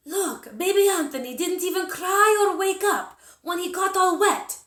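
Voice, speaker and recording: A woman voicing an excitable, admiring Italian aunt in a storybook, gushing as she talks. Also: extremely high pitch, unhurried, roomy sound, pitched above the performer's own voice.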